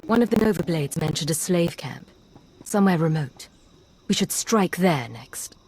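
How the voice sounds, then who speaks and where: An actress voicing a cool, tough undercover agent in a video game, terse and commanding. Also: female voice, sits low, lively intonation, moderate pace, darkish tone, slightly rough, exaggerated voice. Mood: businesslike.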